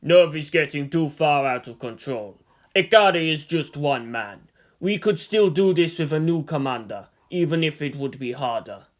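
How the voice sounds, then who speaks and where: A narrator voicing a big, bear-like Russian conspirator with a gruff, growling delivery. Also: medium pitch, animated, moderate pace, lightly acted. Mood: aggressive, impatient.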